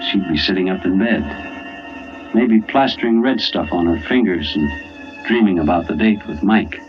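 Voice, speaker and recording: Dogged family man, low pitch, hard-boiled, brooding, dull vintage radio recording. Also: animated, a little slow. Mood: tense, grim. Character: weary, dogged family man narrating his ordeal. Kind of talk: old-time radio first-person narration and acting. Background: dramatic music.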